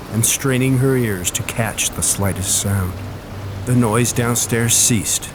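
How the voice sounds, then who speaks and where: A man's voice: lowish voice, background noise. A man reading a horror story as narrator, in a dramatic, theatrical and ominous manner. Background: music and storm ambience.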